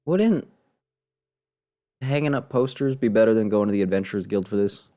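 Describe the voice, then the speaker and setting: A man's voice: on the low side, moderate pace, warm tone. A man who streams games, giving casual, flippant live commentary in muttered asides over the gameplay. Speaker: game streamer. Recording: game music behind the voice.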